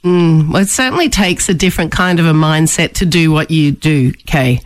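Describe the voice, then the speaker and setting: A woman's voice: low voice, normal speed. A woman hosting an interview, asking friendly, polite questions. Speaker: host.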